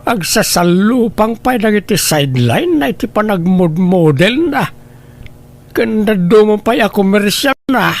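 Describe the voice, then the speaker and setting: A man playing a doting, excitable old grandfather in a radio drama, exclaiming and teasing as he talks. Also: sits high, a little slow. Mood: grateful, earnest. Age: older. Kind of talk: Ilocano radio drama acting.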